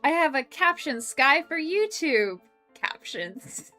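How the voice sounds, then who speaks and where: A woman's voice: high-pitched, very animated, takes it slowly, sharp tone. A young woman hosting a casual coworking stream, reading viewer comments aloud, chatty with frequent laughs and ums. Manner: chatty, laughs often, many ums and restarts. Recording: faint background music. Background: faint music.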